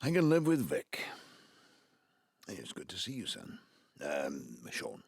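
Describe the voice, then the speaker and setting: Gravelly old Valleys patriarch. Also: male voice, sits low, wildly swooping pitch, measured pace, dry recording, studio quality, exaggerated voice. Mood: conciliatory. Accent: South Wales Valleys. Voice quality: gravelly, husky. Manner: jokey, chuckling, effusive. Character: ageing Welsh father, jovial rogue seeking to make amends. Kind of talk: naturalistic audio drama acting.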